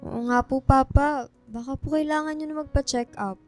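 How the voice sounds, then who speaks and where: A woman's voice: high-pitched, steady tone, normal speed, a little mellow, faint music behind, lightly acted. A woman playing an anxious, devoted school-age daughter in a radio drama, speaking politely and pleadingly. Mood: worried, pleading.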